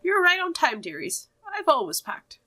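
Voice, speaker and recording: Game role: kindly old witch played by a woman, high-pitched, knowing, coaxing, never in a hurry, soft music underneath. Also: very animated, moderate pace, heavily acted. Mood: cheerfully knowing.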